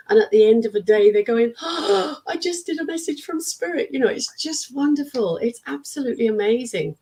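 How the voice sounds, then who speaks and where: Woman from the UK, middle-aged, croaky and husky throat, mellow dark tone, chatty storyteller. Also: mid-range voice, poor audio. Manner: warm storyteller who acts out quotes of students. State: strained, worn-out throat. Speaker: spiritualist medium and teacher. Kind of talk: casual interview chat.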